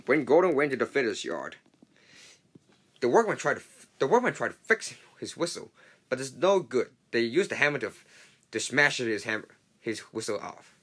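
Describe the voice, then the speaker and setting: Animated man reading a storybook aloud. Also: medium pitch, slightly rough. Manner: halting, stumbling reading. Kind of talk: children's storybook read-along narration.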